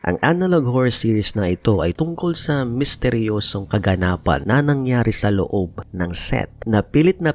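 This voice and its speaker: A Filipino man narrates in a lowish, lively voice with wide swings in pitch. He tells it like a scripted horror explainer, slipping into English phrases, with a faint noisy ambience behind him. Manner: storytelling, switches between Tagalog and English phrases. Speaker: YouTube narrator.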